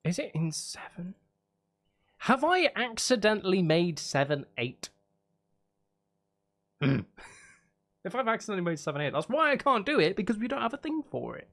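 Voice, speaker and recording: A man's voice: sits high, sing-song, moderate pace, pauses often, a bit bright. A man streaming casually, thinking aloud as he works things out. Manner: thinking aloud, counting and humming out rhythms. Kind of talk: casual live stream chat while composing music. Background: music playing.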